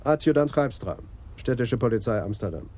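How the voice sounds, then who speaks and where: A man's voice: mid-range voice, very animated, normal speed, darkish tone. A man playing a stern, methodical police adjutant in a radio crime drama, firm and matter-of-fact. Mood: exasperated, official. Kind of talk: 1980s German radio play acting.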